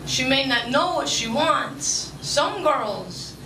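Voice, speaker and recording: A woman's voice: sits high, expressive, slow, vocal fry. Young woman doing spoken word on a club mic, rhythmic and emphatic, echoey live room. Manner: rhythmic, emphatic poetic delivery. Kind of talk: spoken word poetry performance mixed with interview. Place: small club stage under red lights. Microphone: stage mic on stand. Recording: roomy live sound from a distance, noisy.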